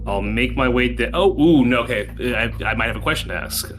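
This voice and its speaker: A man playing a tabletop game, chatting informally and thinking out loud, with a sweary streak. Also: medium pitch, brisk. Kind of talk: casual game chat. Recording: music playing behind the voice. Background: music.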